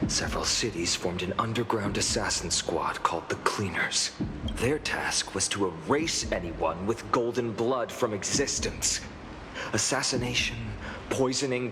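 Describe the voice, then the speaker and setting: A man voicing a gentle, thoughtful young hero in a video game, speaking sincerely and reflectively. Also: lowish voice, close to an everyday voice. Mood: serious. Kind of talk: English video game voice acting. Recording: long reverb, clear background noise.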